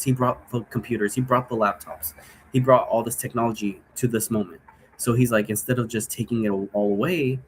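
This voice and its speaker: A man's voice: on the low side, a little hiss or hum, rough recording. A man giving an informal, improvised explainer in a casual, digressive way, often posing rhetorical questions. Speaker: role-play game creator and presenter.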